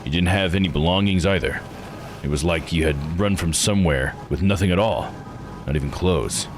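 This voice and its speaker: A man voicing a blunt, plain-spoken man from a visual novel game, gruff and probing. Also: low voice, moderate pace, background noise, lightly acted.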